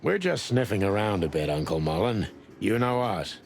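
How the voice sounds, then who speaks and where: A man's voice: on the low side, big pitch swings, normal speed, vocal fry, faint music behind. A man playing a tough, laconic rooster police detective in a noir adventure game, with a dry hard-boiled manner. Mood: friendly, dry.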